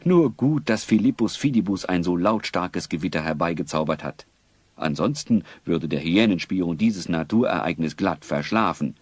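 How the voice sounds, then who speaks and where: A man narrating a children's audio drama as a storyteller, wry and expressive. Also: sits low, moderate pace, breathy edge, no echo.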